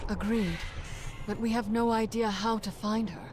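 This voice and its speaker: An actress playing a resolute, caring mage leader in a video game, earnest and composed under danger. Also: female voice, medium pitch, steady tone, normal speed, natural voice. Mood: concerned, resolute. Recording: loud game music behind voice.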